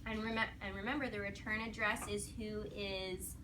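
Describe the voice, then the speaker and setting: A woman's voice: mid-range voice, little pitch movement, moderate pace. A woman teacher reading aloud to young children in a classroom, with simple instructional prompts and praise. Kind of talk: read-aloud lesson with questions to children. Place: classroom, recorded from a distance. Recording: distant mic, noisy classroom.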